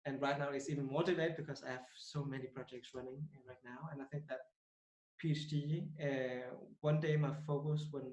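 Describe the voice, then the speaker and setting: A man's voice: medium pitch, fairly even intonation, fairly quick, a little mellow, echoey room, poor audio. A man in his twenties from Denmark, talking casually as a podcast guest, hesitant with many fillers and restarts. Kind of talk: casual interview talk.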